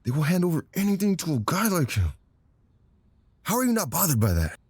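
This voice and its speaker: A man's voice: fairly high pitch, wildly swooping pitch, brisk, husky, no echo, exaggerated voice. A man acting in an audio drama as a wry, pointed former comrade, intimate and resentful. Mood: indignant, pressing.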